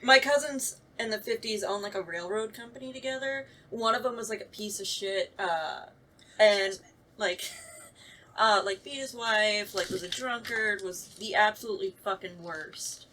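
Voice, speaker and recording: A woman's voice: medium pitch, pushed and bright. A woman on a podcast telling stories casually in a wry, blunt, sweary way. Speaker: podcast panelist. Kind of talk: casual conversational storytelling. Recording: distant mic with clear background noise.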